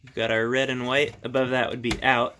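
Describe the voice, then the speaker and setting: A man's voice: mid-range voice, level tone, fairly quick, background noise. A man in his thirties talking casually through a hands-on tutorial step by step, recorded in a small home workshop room. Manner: explains step by step in plain words. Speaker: hobbyist video host.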